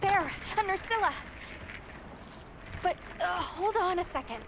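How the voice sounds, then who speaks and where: An actress playing an earnest young guild field handler in a video game, brisk, precise and professional. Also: female voice, extremely high pitch, animated, creaky, heavy background noise, full character voice. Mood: alert, concerned. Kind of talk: video game cutscene acting.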